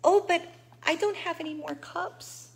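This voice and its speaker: Eager young storybook boy, high and excited, a woman voicing him. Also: unhurried, creaky, reverberant, a little hiss or hum, heavily acted.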